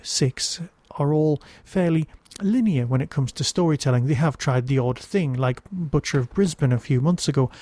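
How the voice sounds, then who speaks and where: A male podcast reviewer with a slightly high but mellow voice. He talks casually, wandering into wry asides, and the recording is close and dry. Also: dry recording. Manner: wry, digressive, conversational asides. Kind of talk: casual solo review commentary.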